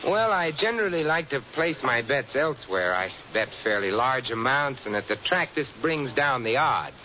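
An actor playing a polite, urbane gambler in a radio drama, with a wry, courteous manner. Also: male voice, mid-range voice, big pitch swings, moderate pace, bright, forceful tone. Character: polite, suave male gambler playing the eager mark. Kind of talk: old-time radio drama acting. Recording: dull, hissy old radio recording.

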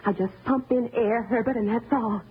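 An actress playing a timid, resentful wife turned murderous, in old-time radio thriller style, menacing and bitter. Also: female voice, fairly high pitch, normal speed, slightly rough. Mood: calm menace. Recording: noisy, narrow-band old radio recording.

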